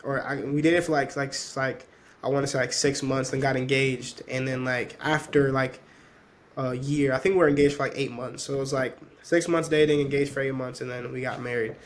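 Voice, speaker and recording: A twenty-year-old man whose first language was Spanish, talking casually as a podcast guest and leaning on fillers as his phrases trail off softly. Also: medium pitch, level tone, a little fast, a little hiss or hum. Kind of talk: casual conversation.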